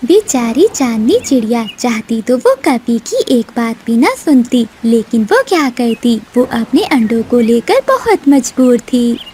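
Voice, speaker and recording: A woman's voice: a little high, sing-song, measured pace, hardly any pauses, dark tone, a little hiss or hum. A woman narrating a scripted children's cartoon story.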